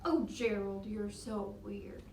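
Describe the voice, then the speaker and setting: A woman's voice: mid-range voice, steady tone, slow, creaky, darkish tone, voice pushed down. A woman voicing mocking jungle animals in a storybook, with a taunting, sneering manner. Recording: roomy, distant mic, faint noise.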